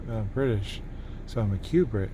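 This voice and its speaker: A man's voice: lowish voice, lively intonation, normal speed. A man in his forties, whose first language is Spanish, rambling casually with many fillers and restarts while talking into a dashboard phone camera in his parked car. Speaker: vlogger. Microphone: phone camera mounted on the dashboard. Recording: vehicle noise behind the voice. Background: car and street ambience.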